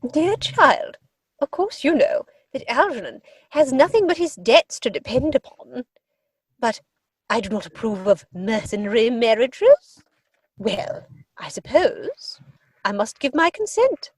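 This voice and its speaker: A woman playing an imperious older aristocratic English aunt in a comic stage-play reading, with pompous, deliberate delivery. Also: high voice, sing-song, breathy edge, no room sound, full character voice, poor audio.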